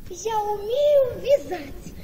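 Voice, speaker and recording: A naive, adoring young wife speaks in an extremely high voice at a slow pace. The echoing hall carries her emotional, theatrical radio-play exclamations over clear noise. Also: sing-song, mellow, full character voice. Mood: tender, eager. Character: devoted, naive young wife, tender and desperately loving. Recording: echoey noisy hall, long reverb.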